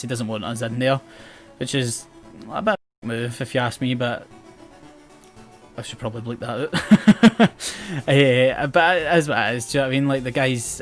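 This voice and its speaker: A young man with a west coast Scottish accent, chatting casually about the game he is playing and about his life, rambling and self-mocking. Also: mid-range voice. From Scotland. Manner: chatty, rambling, self-mocking, often restarts words and laughs. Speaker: gaming channel host. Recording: game music playing faintly behind.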